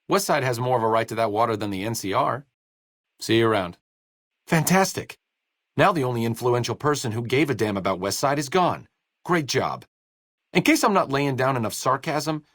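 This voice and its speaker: An actor playing a sarcastic, idealistic wasteland doctor in a video game, with an American accent and a dry, articulate manner. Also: male voice, medium pitch, a bit bright, no room sound. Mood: sarcastic, disapproving. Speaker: video game companion character. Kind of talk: video game voice acting, conversational dialogue.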